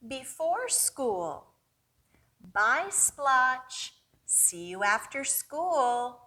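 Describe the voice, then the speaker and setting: A woman leads a storytime for young children in a bright, slightly high voice. She reads very slowly and expressively, coaxing her listeners along with questions. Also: sing-song. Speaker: children's librarian hosting storytime. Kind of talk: animated read-aloud for young children.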